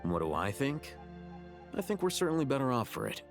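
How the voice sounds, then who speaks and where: A man's voice: mid-range voice, very animated, a little fast, music in the background, natural voice. An actor playing a composed young male scholar in a game cutscene, dry and matter-of-fact. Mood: calm, dry.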